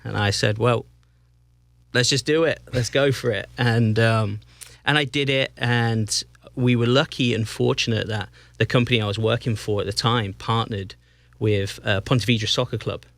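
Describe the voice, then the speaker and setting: English man, Northampton accent, relaxed guest on a podcast, halting delivery, dry close mic. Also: mid-range voice, creaky, no room sound. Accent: English, Northampton area. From England. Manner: easygoing, halting with restarts and fillers. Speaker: guest, soccer club president. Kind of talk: casual interview chat.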